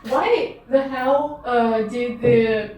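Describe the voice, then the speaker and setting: Slow-talking Russian-native woman, echoey. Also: fairly high pitch, a little mellow. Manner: hesitant, many fillers, wry. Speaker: guest. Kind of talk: casual chat. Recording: echoey room, far from the mic.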